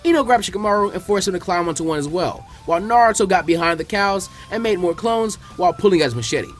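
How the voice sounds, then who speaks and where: Man reading a story aloud, animated and musically backed. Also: high-pitched, quick pace, soft background music. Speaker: fan fiction reader. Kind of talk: scripted narration, dramatic audiobook reading.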